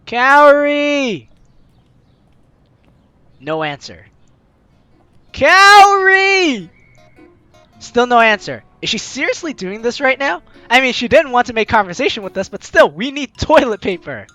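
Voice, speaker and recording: A gaming video host reading a visual novel aloud in an animated, theatrical way, adding playful commentary, with game music behind. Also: high voice, unhurried, pauses often, no echo. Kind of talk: let's play commentary with dramatized reading of game text.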